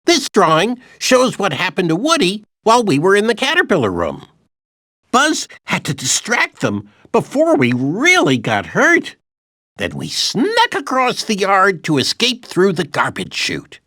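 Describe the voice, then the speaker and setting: A man's voice: high voice, huge pitch swings, measured pace, sharp tone, no echo, clean studio sound, full character voice. A man voicing an anxious, childlike toy dinosaur in a video game, earnestly retelling adventures with dramatic emphasis. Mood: earnest, excitable. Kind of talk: animated video game storytelling narration.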